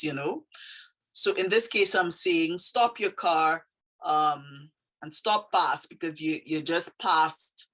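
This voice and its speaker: Slow, low-voiced Trinidadian-Canadian woman. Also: a bit bright. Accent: Trinidadian with a slight Canadian tinge. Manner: thoughtful, hesitant, frequent fillers. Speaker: poet explaining her poem. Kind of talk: casual explanatory talk.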